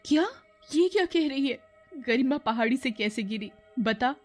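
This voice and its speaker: Woman acting urgent, high-pitched scolding mother. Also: animated, moderate pace, faint music behind. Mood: alarmed. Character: anxious, scolding mother of two girls. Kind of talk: dramatised cartoon moral story.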